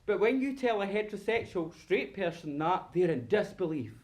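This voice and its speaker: Man acting a high-voiced, campy, sardonic monologist. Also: animated, normal speed, darkish tone. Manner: dramatic, sardonic, campy, given to mimicking dialogue. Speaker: actor performing a monologue. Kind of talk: theatrical solo stage monologue.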